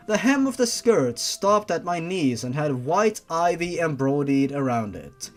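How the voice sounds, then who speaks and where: A narrator reading fan fiction aloud in a theatrical, irreverent style. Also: medium pitch. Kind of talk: dramatic fan fiction reading with mocking asides.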